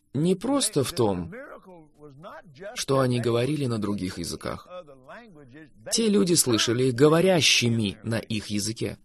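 Fervent preaching man, lively pitch. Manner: fervent, emphatic, swings between loud bursts and soft drawn-out phrases. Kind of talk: preaching conversation.

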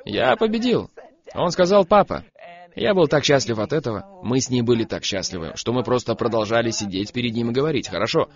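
Young guy preaching, really lively up and down voice, kinda muffled lo-fi recording. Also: medium pitch, fast, hardly any pauses. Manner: animated storytelling, emphatic repetition. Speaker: preacher, guest on a program. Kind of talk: sermon.

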